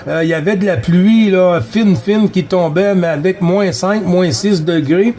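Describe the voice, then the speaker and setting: A man's voice: high-pitched, measured pace, dark tone. A man with a Quebec French accent, a CB radio operator chatting casually and repeating himself in a friendly, folksy way. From Quebec, Canada. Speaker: amateur CB radio operator running contacts.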